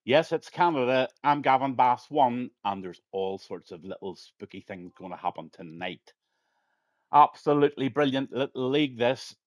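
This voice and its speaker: A man's voice: mid-range voice, animated, moderate pace, dry recording. A middle-aged man commentating a sim racing league on a live stream, chatty and friendly, his voice a little strained. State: slightly strained voice.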